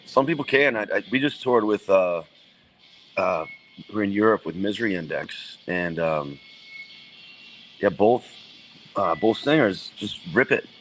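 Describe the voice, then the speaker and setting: Deep-voiced man, relaxed and plain-spoken. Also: measured pace, pauses often, a little hiss or hum, poor audio. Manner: relaxed, plain-spoken, lots of ums and restarts. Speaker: metal band vocalist, guest. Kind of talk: casual interview answers.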